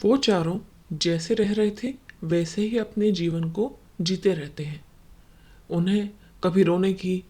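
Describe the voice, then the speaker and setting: A woman narrates a scripted story with a mid-pitched voice. Her delivery is that of a dramatic storyteller. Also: dark tone.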